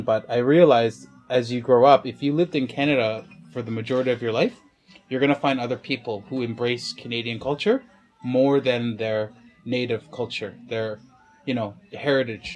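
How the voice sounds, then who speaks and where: A man in his thirties being interviewed, speaking reflectively with soft trailing endings. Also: medium pitch, poor audio. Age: 30s. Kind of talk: casual interview.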